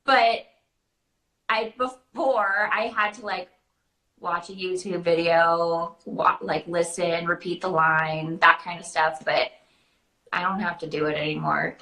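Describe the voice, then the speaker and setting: Bright, echoey young woman. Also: lowish voice, steady tone, unhurried. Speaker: host, princess party performer. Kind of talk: casual chat, answering viewer questions. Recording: roomy, echoing sound.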